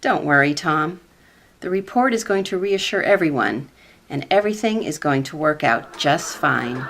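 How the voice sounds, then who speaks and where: Female secretary played by an actress: lower-toned, steady, skeptical yet supportive, expressive intonation, radio sitcom. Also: normal speed, full character voice. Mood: reassuring. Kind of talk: comedic radio play acting.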